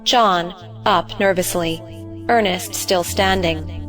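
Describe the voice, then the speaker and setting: A woman's voice: lowish voice, fairly even intonation, slow, a bit bright, soft background music. A woman narrator reading scripted stage directions in short, clipped phrases.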